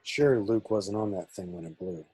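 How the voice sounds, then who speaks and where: A man's voice: on the low side, moderate pace, mellow, breathy edge, no echo. A man playing a proud, jealous rogue hero in love, in an amateur table read. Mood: resigned, wounded.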